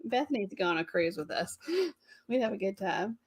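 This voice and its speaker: A woman's voice: medium pitch, fairly quick. A middle-aged woman, hosting a casual live stream and chatting with viewers while laughing often.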